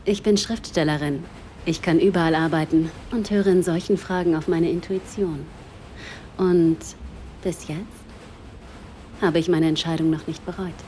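A woman's voice: on the low side, fairly even intonation, moderate pace, pauses often, warm tone, slight background noise, lightly acted. An actress playing a self-assured, flirtatious woman writer in an intimate audio drama, with a playful, inviting manner. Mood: open, self-assured.

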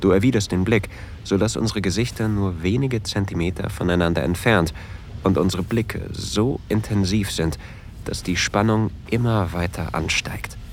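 Actor as introverted male traveler, very low voice, intimate hesitant delivery, romantic audio drama, clear background noise. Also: normal speed, natural voice. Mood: intimate, longing. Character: introverted, flustered male train traveler narrating a romantic encounter.

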